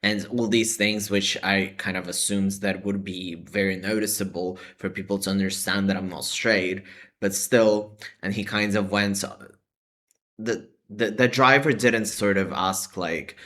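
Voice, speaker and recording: A man's voice: lowish voice, steady tone, unhurried, fairly bright tone. A young man talking casually to camera in a vlog, hesitant and full of "like" and "sort of" hedges. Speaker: vlogger.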